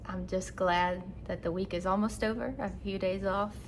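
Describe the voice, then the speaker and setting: An easygoing woman in her late twenties co-hosts a relaxed podcast chat. Her voice sits in a middle register, and she laughs often as she talks. Faint background noise sits under the recording. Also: medium pitch, moderate pace, poor audio. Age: 20s. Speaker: podcast co-host. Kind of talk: casual chat.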